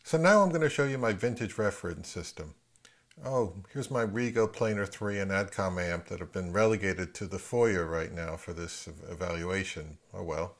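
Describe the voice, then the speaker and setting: A man's voice: sits low, normal speed. Middle-aged American man, casual gear reviewer. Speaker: audio gear reviewer and channel host. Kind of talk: casual explanatory product review narration.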